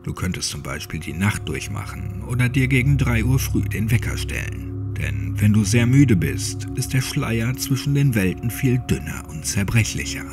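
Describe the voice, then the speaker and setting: A man narrates a creepy horror audiobook in a low voice. His delivery is dramatic and suspenseful, with lively swings in intonation. Music plays clearly behind him. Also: moderate pace, few pauses. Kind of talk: scripted creepypasta audiobook narration, addressing the listener. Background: music under the voice.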